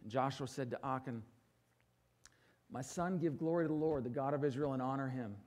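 A middle-aged male pastor preaching a sermon from a church stage. He swings between loud, emphatic declarations and quiet, low asides, and asks the congregation for assent. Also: fairly quick.

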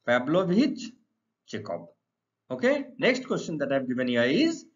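A middle-aged man with an Indian accent, teaching English to students in deliberate, emphatic, repetitive phrases. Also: a little high. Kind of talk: exam lesson explained to students. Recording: dull, lo-fi sound.

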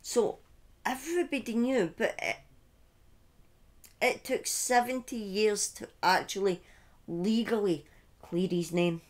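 Slow-talking middle-aged woman telling a story. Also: mid-range voice. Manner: deliberate, pausing, emphatic repetition. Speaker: livestream host telling a true-crime story. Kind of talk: informal true-crime storytelling to a live chat. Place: small indoor room at home. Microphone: close desk microphone on a boom arm.

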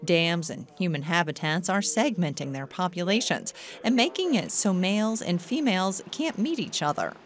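Woman, reporter voice-over, lively intonation, lowish pitch. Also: normal speed. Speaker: news reporter. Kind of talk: scripted news narration.

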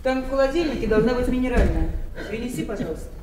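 A woman's voice: on the high side, lively intonation, quick pace, darkish tone, natural voice. A woman acting a harried, bossy yet affectionate middle-aged daughter in a Soviet radio play. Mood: brisk, questioning. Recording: roomy, faintly noisy radio-play recording.

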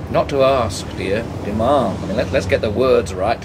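An actor playing a boorish, grasping English husband in a radio drama, sarcastic, slangy and impatient. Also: male voice, medium pitch, moderate pace, natural voice. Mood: irritable, blustering. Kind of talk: naturalistic radio drama acting. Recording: noisy, vehicle sound behind.

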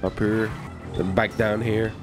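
A man giving excited, boisterous gameplay commentary as a video host, with frequent shouts and swearing. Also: fairly low pitch, unhurried, music in the background.

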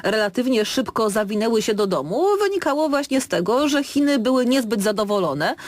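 A woman's voice: fairly high pitch, expressive, brisk. A woman radio host putting questions in a live political interview. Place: radio studio.

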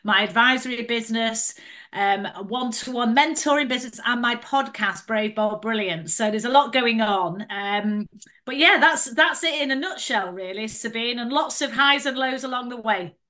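Mancunian woman in her 50s, bright voice, chatty and easygoing, lots of um and you know. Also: a little high, normal speed. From north of England. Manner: chatty, warm storytelling with frequent "um" and "you know". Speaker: guest, entrepreneur and podcaster. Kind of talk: casual interview chat.

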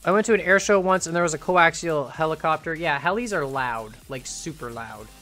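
A young man in his twenties chatting casually as he builds during a live game stream, rambling and thinking aloud, his voice starting to go hoarse. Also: fairly high pitch, fairly quick, bright, forceful tone, soft background music. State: voice going hoarse. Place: home room at a computer.

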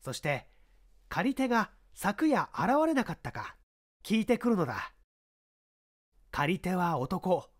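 Man playing a commanding police inspector, animated. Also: high-pitched, pitched above the performer's own voice, full character voice. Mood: urgent, commanding. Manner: brisk, authoritative, giving orders. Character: shrewd, commanding middle-aged male police inspector. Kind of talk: dramatized mystery audiobook reading.

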